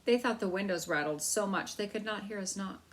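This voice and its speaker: A woman's voice: medium pitch, a little fast, close to an everyday voice. A woman voicing an eager young boy mouse who brags a little, in a storybook reading. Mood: bragging. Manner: eager, bragging, offhand.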